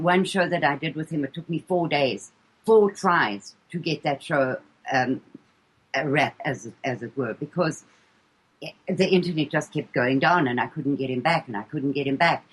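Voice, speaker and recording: A woman's voice: low-pitched, breathy edge, low-quality recording. A woman from South Africa with an English-leaning South African accent, a guest talking earnestly on a radio show and hesitating often. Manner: earnest, hesitant with frequent ums and repeated words. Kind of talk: casual radio interview chat.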